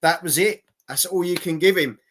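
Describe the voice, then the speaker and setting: Bright, forceful man, losing his voice. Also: high-pitched, moderate pace. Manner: blokey, sweary, repeats phrases for emphasis. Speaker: host of a boxing watch-along stream. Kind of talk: casual live sports reaction chat.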